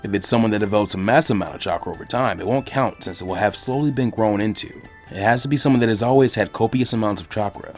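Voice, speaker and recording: A man voicing a calm, encouraging adult male ninja teacher who explains things patiently in a story reading. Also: on the low side, fast talker, hardly any pauses, natural voice. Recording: faint music behind the voice.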